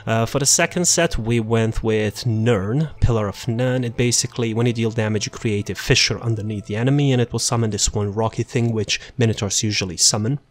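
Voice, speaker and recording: Low-pitched male narrator for a laid-back game guide; rambling, offhand delivery with self-deprecating asides. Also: brisk, few pauses. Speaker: game build guide creator. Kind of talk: casual game guide walkthrough.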